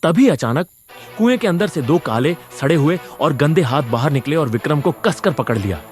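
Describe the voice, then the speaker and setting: A man's voice: a little high, animated, moderate pace, over music. A man reading a scripted horror story as a dramatic narrator.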